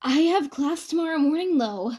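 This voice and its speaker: A woman voicing a sociable, easily exasperated young college woman, with an expressive, bantering delivery. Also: high-pitched, normal speed, pitched above the performer's own voice.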